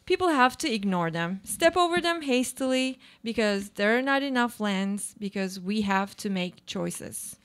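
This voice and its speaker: A woman's voice: mid-range voice, animated, measured pace, hardly any pauses, lightly acted. A woman playing a troubled, self-doubting housewife in a reflective, questioning radio play monologue. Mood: doubtful, evasive.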